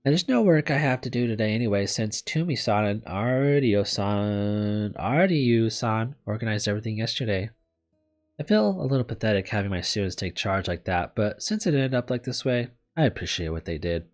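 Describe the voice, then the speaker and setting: Guy voicing sick anxious lady teacher from a visual novel, low voice, hesitant, feels sorry for herself, music behind. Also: lively intonation, moderate pace. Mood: glum, apologetic. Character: anxious, self-doubting young woman teacher, bedridden with fever. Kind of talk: visual novel read aloud. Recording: background music under the voice.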